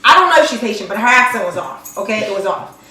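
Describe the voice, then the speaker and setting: A woman's voice: mid-range voice, lively intonation, fast, heavy reverb, distant mic, background noise. A young woman co-hosting a casual TV review chat, insisting on her points and repeating them. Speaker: co-host reviewing a TV episode.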